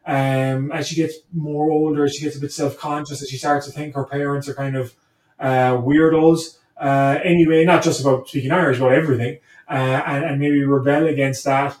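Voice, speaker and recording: A man's voice: medium pitch, little pitch movement, moderate pace, a little mellow. A man who is a native English speaker, talking reflectively as an interview guest, hesitant and repeating words as he thinks. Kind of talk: reflective conversation. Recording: roomy echo, distant from the mic.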